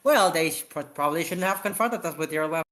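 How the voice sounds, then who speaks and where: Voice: medium pitch, quick pace, fairly bright tone, natural voice. An amateur reader voicing a carefree woman spaceship captain from a sci-fi story, breezily brushing off blame or protesting. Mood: nonchalant.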